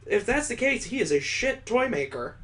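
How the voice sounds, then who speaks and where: A man's voice: very high-pitched, big pitch swings, moderate pace, bright, forceful tone. A man reading a visual novel aloud as a let's play host, mixing story narration with sarcastic, joking commentary. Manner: sarcastic, wisecracking.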